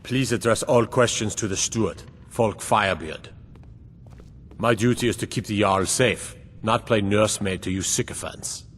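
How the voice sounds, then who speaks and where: A man voicing a gruff, contemptuous bodyguard to a ruler in a fantasy video game, curt and dismissive. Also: fairly low pitch, moderate pace, a bit bright, lightly acted. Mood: disdainful. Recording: reverberant hall, faint background noise.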